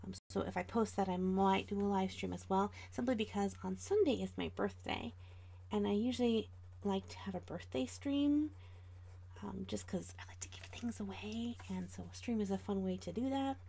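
A woman's voice: mid-range voice, moderate pace, gravelly, dark tone. An American woman of about forty chatting casually and informally to viewers as the host of an art livestream. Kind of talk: casual livestream chat while drawing.

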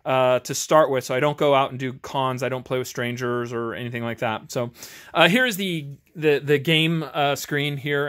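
A middle-aged man with an American accent chats off the cuff as a game reviewer, rambling and self-deprecating. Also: mid-range voice. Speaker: host, tabletop game reviewer. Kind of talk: unscripted casual review chat. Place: home game garage.

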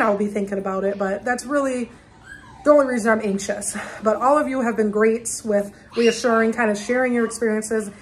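A woman's voice: a little high, a little hiss or hum. A woman in her thirties, a mother of three, chatting casually and rambling to a camera held at arm's length in her home. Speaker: vlogger, single mom of three. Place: home dining area indoors. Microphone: phone or camera at arm's length.

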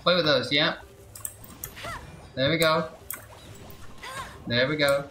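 Game streamer, bright voice, slow-paced delivery, casual, excitable, repeated exclamations, roomy sound with game music. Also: mid-range voice. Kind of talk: casual live gameplay commentary.

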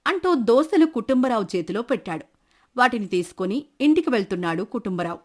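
High-voiced woman storyteller. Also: sing-song, a little fast. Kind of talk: scripted story narration.

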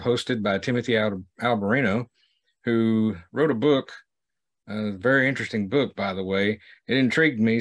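Middle-aged male host and explorer, lowish voice, plain-spoken, halting delivery to camera. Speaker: video series host and explorer. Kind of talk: vlog narration to camera.

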